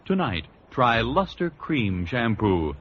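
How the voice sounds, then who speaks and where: A man's voice: medium pitch, very animated, takes it slowly. A man reading a scripted 1940s radio advertisement as a commercial announcer. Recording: muffled vintage radio, faint hiss.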